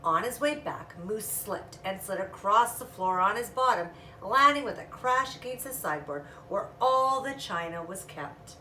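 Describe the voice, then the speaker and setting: A woman's voice: mid-range voice, lively intonation, normal speed, hardly any pauses, sharp tone. A woman reading a children's story aloud expressively, drawing out sound words and adding casual asides. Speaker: storytime reader. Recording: echoey room, distant mic, faint noise.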